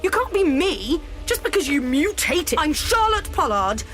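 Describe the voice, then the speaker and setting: A young female companion in an audio drama, earnest and emotionally raw. The voice is on the high side, with faint music underneath. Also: big pitch swings, unhurried, fairly bright tone, heavily acted. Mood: agitated, defiant.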